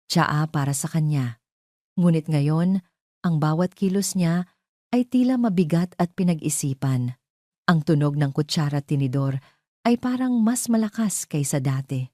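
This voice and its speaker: A woman's voice: sits low, dark tone, dry recording, clean studio sound. A woman narrating a scripted dramatic audio story.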